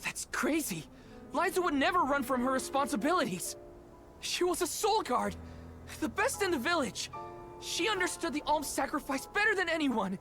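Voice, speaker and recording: An actor playing an earnest, loyal young male soul guard in a video game cutscene, expressive and emotional. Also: very high-pitched, moderate pace, exaggerated voice. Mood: shocked, indignant. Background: background music.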